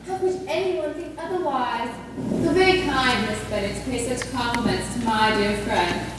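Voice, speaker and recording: Woman playing a snobbish high-voiced society lady, echoey hall. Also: natural voice. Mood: falsely sweet. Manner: affected, arch, mock-genteel. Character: snobbish, jealous young society lady, sweetly spiteful. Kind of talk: amateur stage play acting. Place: theatre stage, recorded from a distance. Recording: echoey hall, distant mic, faint noise.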